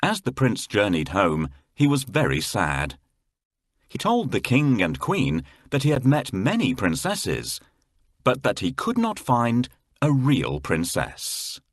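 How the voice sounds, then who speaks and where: A man narrating a children's fairy tale in a dramatic, expressive storyteller's manner. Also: lowish voice, slow, no room sound. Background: faint music.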